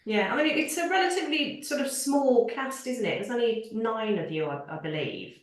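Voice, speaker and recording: A woman's voice: a little high, animated, brisk. Woman interviewer in a very echoey room. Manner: chatty, with many "sort of" and "I mean" fillers and frequent "yeah" backchannels. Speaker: theatre review show host, interviewer. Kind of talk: conversational interview. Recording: roomy, distant mic.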